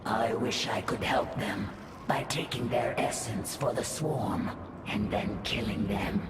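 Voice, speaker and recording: An actress playing an obedient, predatory alien broodmother in a video game, formal and deliberate. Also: extremely low pitch, very noisy. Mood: cold musing. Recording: distant, echoey, music over voice.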